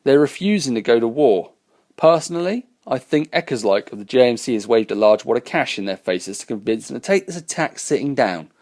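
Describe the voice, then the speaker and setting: A man's voice: medium pitch, expressive, brisk, a little mellow, natural voice. A man reading aloud who voices a determined, battle-worn starship captain speaking earnestly and resolutely.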